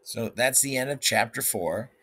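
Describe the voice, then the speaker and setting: A man's voice: medium pitch, moderate pace, sharp tone, breathy edge. A male teacher, guiding students through an instructional read-aloud lesson.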